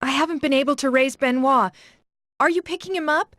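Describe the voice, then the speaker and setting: A woman playing a brisk, exasperated squad officer in a video game, urgent and commanding. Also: high voice, fairly bright tone, no echo, studio quality. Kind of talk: video game cutscene acting.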